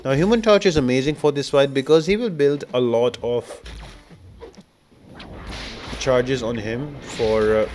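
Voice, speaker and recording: A man's voice: medium pitch, a little slow, pauses often, a little mellow. Man explaining a game walkthrough, noisy recording. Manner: instructional, step-by-step. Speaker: gaming guide host.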